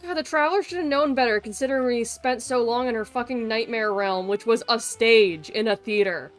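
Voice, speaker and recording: A woman's voice: a little high, brisk, faint music behind. A woman giving casual, sweary, blunt and joking live commentary as a game streamer.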